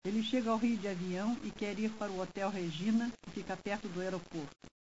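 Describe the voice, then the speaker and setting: A woman with a mid-pitched voice reads language drills from a script. She is measured and enunciates carefully. The tape sounds dull, with a faint hiss. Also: steady tone, a little mellow. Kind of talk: scripted language-lesson reading. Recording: muffled hissy old language tape.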